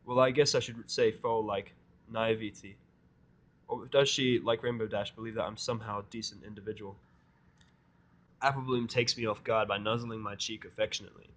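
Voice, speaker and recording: A man's voice: on the low side, fairly even intonation, moderate pace, a bit bright. A man reading first-person fan fiction as narrator, in a sardonic, grumbling way.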